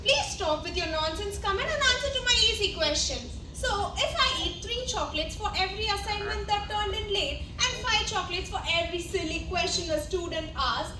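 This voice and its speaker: A woman's voice: very high voice, lively intonation, fairly quick, hardly any pauses. A young woman with an Indian English accent playing a stern, pompous, scheming school examiner in an amateur stage comedy, declaiming formally. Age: young adult. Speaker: student amateur stage actor. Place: indoor college event stage, distant mic. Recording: echoey, noisy hall from far off.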